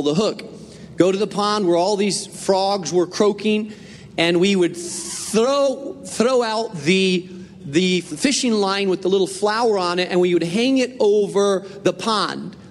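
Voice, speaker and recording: A man from northern Spain preaches with a bright, high-pitched voice. He speaks earnestly and conversationally and circles back to drive his points home. His voice carries a long echo through a large church hall. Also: measured pace. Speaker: pastor. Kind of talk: sermon.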